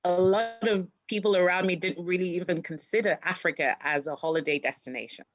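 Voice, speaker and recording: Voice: mid-range voice, steady tone, brisk, muffled recording. A panel host speaking in a chatty, teasing way in an online talk. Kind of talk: casual panel hosting.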